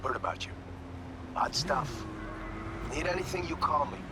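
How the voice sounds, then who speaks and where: Male actor as boastful game fixer, slightly high voice, brash, clipped phrasing, self-promoting, music playing behind. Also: lively intonation, normal speed, close to an everyday voice. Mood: cocky, brash. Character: cocky, well-connected male city fixer, self-promoting. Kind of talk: video game dialogue.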